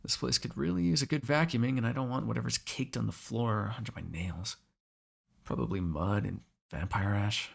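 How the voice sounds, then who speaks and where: A man voicing a teasing, sarcastic vampire with a gravelly, husky voice in an ASMR roleplay. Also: lowish voice, normal speed, exaggerated voice. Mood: wry, irritated. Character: snarky, teasing male vampire captive, predatory yet fond. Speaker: voice actor in audio roleplay.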